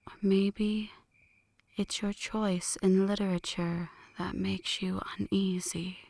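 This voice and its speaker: A woman playing a flirty, brooding female vampire in an ASMR roleplay, speaking in hushed, breathy whispers with a teasing, poetic delivery. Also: mid-range voice, flat delivery, slow pace, dry recording. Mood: teasing, seductive. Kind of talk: ASMR roleplay, scripted dramatic monologue.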